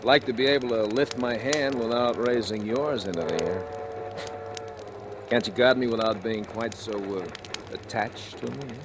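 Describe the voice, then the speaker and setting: An actor playing a shrewd, cynical convicted embezzler who narrates his crime in deliberate, dramatic 1940s radio thriller style. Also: mid-range voice, background noise, close to an everyday voice. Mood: wry, calculating. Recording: old radio drama with music behind.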